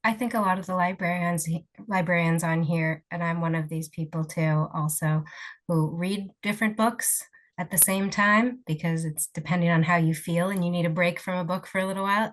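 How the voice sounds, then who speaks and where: A woman with a fairly low voice hosts a webinar over a video call. She is a librarian who asks her guest encouraging, appreciative questions.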